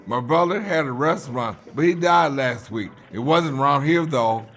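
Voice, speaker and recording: A man's voice: medium pitch, normal speed, fairly bright tone, natural voice. A man playing an odd, laconic old gas station attendant in a radio play. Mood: laconic, flat.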